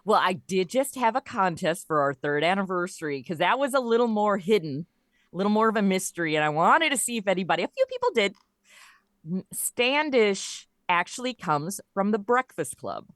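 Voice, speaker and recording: A woman speaking as an interview guest in casual chat, telling stories in an animated way and acting out quoted lines. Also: medium pitch, moderate pace, no echo, studio quality. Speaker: guest, writer of a comedy audio show.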